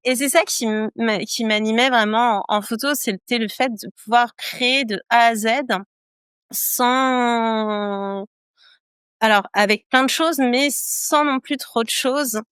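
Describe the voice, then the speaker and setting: A woman's voice: on the high side, fairly even intonation, takes it slowly, a bit bright, clean tone, no room sound. A woman from France, a guest in a casual interview, talking with lots of hesitations and repeated phrases.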